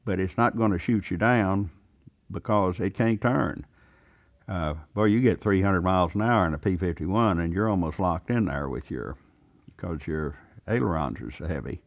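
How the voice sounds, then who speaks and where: A man's voice: sits low, lively intonation, measured pace. An eighty-year-old man, a veteran pilot telling stories in a plainspoken, folksy way. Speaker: veteran warbird pilot recalling his career. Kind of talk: unscripted storytelling interview.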